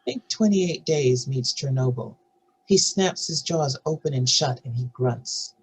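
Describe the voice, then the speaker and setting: A man's voice: mid-range voice, lively intonation, a little slow, breathy edge, low-quality recording. A man narrating scripted scene directions as part of a screenplay table read. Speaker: narrator reading stage directions.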